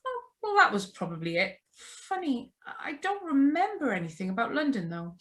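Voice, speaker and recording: A woman's voice: high voice, big pitch swings, normal speed. A woman acting a chatty, doting older mother-in-law in an old-style radio drama read over a video call. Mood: pleasant, curious. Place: remote live reading over video call.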